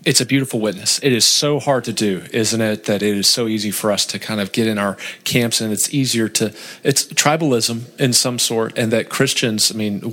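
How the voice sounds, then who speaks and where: A man's voice: on the low side, fairly bright tone. A man speaking conversationally as the interviewer. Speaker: interviewer, host.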